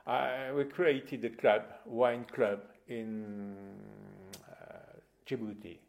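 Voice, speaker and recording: A man from Bordeaux with a French accent, chatting as an interview guest in halting English and searching for his words. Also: mid-range voice. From Bordeaux, France. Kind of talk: casual conversational interview.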